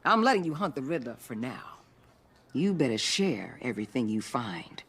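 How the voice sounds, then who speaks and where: An actor playing a domineering, territorial government agent in a video game, menacing but controlled, with a raspy voice. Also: male voice, medium pitch, very animated, moderate pace, a bit bright, heavily acted. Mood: threatening.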